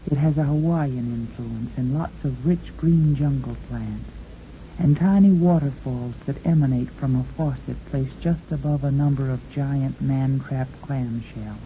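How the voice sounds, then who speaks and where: A woman playing a sultry, devoted sweetheart in a 1950s radio monologue, low-voiced, speaking intimately and seductively to the listener. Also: measured pace. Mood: dreamy, romantic. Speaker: radio show host. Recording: tinny, noisy 1950s radio recording.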